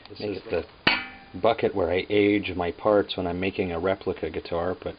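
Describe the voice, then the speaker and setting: A man casually explaining guitar repair work in a halting, unscripted, plain-spoken way, as a craftsman hosting his own demonstration. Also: sits low, fairly even intonation. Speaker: guitar repairer and restorer, host. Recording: dull lo-fi sound with hum and noise.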